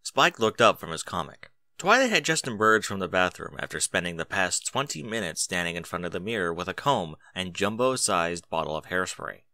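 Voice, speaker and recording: A man narrating a story in an expressive audiobook style. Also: low-pitched, a little fast, hardly any pauses, dry recording.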